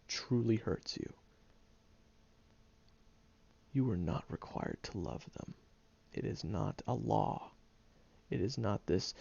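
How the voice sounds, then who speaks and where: A man voicing a gruff, sarcastic young man who secretly cares, blunt and profane but gentle underneath, groggy from just waking up, in an audio roleplay. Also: medium pitch, no echo, highs cut off. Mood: earnest. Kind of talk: audio roleplay monologue to the listener.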